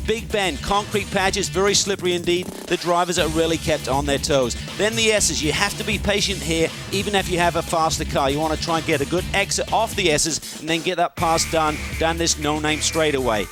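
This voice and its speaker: High-voiced man describing a race track. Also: a little fast, hardly any pauses, over music. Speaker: race analyst. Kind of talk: scripted track description voice-over.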